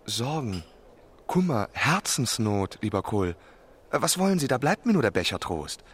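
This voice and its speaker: An actor playing a lovesick young French marquis in a German radio play, chatty and self-pitying, with effusive, faltering gentility. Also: male voice, mid-range voice, sing-song, moderate pace, breathy edge. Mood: confiding, tipsy. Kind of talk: German radio drama acting.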